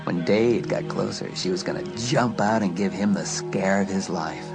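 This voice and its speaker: A man's voice: low-pitched, moderate pace, vocal fry. A man narrating a horror audiobook in a suspenseful, dramatic storytelling manner. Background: loud music.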